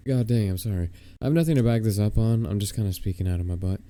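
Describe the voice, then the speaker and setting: A man's voice: lowish voice, quick pace, warm tone. A young American man co-hosting a podcast in casual chat, rambling and hesitant, often putting himself down. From United States. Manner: hesitant, rambling, self-deprecating. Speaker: podcast co-host.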